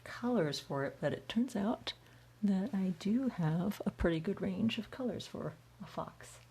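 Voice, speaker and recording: A woman's voice: medium pitch, expressive, measured pace, vocal fry, mellow, breathy edge. A woman from the United States, raised speaking Chinese first, chatting casually with viewers on a livestream while she paints and reads their comments aloud. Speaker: artist hosting a livestream Q&A.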